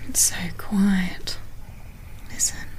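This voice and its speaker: Dry, sharp-tongued young wife. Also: female voice, extremely slow, whispered, poor audio. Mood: hushed, suspicious. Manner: dry, sardonic, blunt. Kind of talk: naturalistic radio drama acting.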